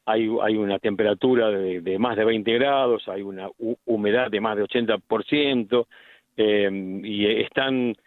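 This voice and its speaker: Argentine doctor on a tinny phone line. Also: male voice, fairly low pitch, steady tone, dry recording. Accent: Argentine Spanish. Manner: deliberate, explanatory, frequent restarts. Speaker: medical specialist guest. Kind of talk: explanatory radio interview by phone.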